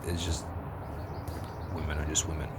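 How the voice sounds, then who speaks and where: A man with a deep bass voice giving a blunt, profane, rambling opinion monologue with many restarts. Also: flat delivery, normal speed. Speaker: vlogger. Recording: outdoor street noise with passing vehicles.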